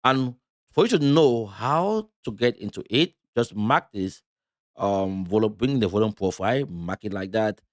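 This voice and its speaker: A man's voice: lowish voice, lively intonation, unhurried, no room sound, clean studio sound. A man from Cameroon giving loose live commentary on crypto charts, with many fillers and restarts. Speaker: crypto trading streamer.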